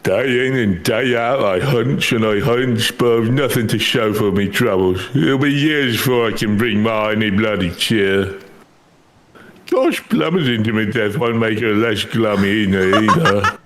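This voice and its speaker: A man's voice: on the low side, measured pace, a bit bright. A man voicing a tipsy, stubborn, down-on-his-luck treasure hunter from a game, with a theatrical, colloquial drawl. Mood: gloomy. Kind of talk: reading game character dialogue aloud.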